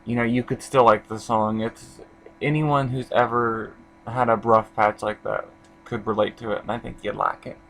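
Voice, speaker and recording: A man's voice: mid-range voice, steady tone, normal speed, fairly bright tone, slight background noise, rough recording. A young man from Indiana casually reviewing an album, rambling with lots of fillers and restarts.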